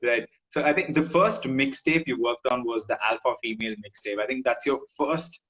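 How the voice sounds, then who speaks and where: A man from India hosting an interview, relaxed and casual, with plenty of fillers and agreeing backchannels. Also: mid-range voice, moderate pace, dull audio. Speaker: interview host.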